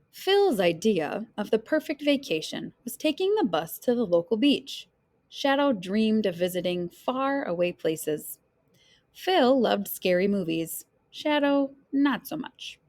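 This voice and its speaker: A woman reading a children's picture book aloud in an expressive, dramatic storyteller's way, phrasing deliberately for young listeners. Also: mid-range voice. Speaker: read-aloud storyteller, teacher.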